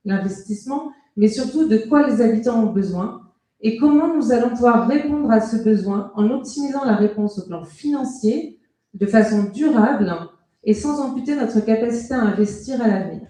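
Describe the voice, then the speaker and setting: A woman speaks as a local councillor at a public meeting. Her voice sits a little high but stays dark and gentle in tone. She delivers prepared remarks in a formal, measured way, and the room around her is strongly echoing. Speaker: local councillor giving a campaign speech. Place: indoor hall at a public meeting.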